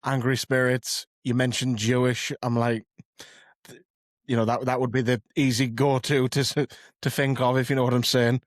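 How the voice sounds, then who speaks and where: A man's voice: mid-range voice, level tone, moderate pace, no room sound, studio quality. A man hosting a podcast interview, asking questions with stammered restarts and dropping casual swear words. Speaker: podcast host.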